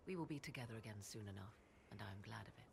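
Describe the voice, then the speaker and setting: An actress playing a proud, sardonic sorceress in a video game, with archaic, formal, haughty diction. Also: female voice, extremely low pitch, expressive, racing, gravelly, background noise, heavily acted. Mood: dry, sardonic. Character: proud, sardonic sorceress, devoted mother and lover. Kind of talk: dramatic video game dialogue.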